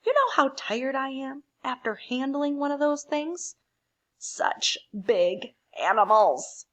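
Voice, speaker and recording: An overburdened picture-book crayon, acted by a woman, grumbles wearily. Words are stretched out slowly, and the pitch swings between low sighing tones and high protest. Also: big pitch swings, fairly bright tone, full character voice. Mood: weary exasperation. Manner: weary, drawn-out. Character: tired, overburdened gray crayon, grumbling and exasperated.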